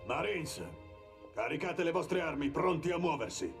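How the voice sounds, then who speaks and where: A man acting as a stern, authoritative middle-aged military captain in a dubbed video game, speaking gravely and with command. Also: fairly high pitch, expressive, moderate pace, music in the background. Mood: commanding, urgent.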